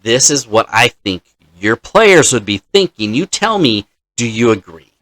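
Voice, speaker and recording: A man's voice: mid-range voice, big pitch swings, unhurried, fairly bright tone, no room sound. A man hosting as a dungeon master, telling the story of a game session in an explanatory way and acting out players' lines.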